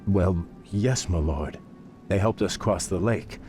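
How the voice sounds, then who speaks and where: An actor playing a proud, resentful elven prince in a video game cutscene, with a refined, dry, sardonic delivery. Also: male voice, sits low, slow, warm tone, soft background music. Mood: bitter. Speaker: prince and field commander.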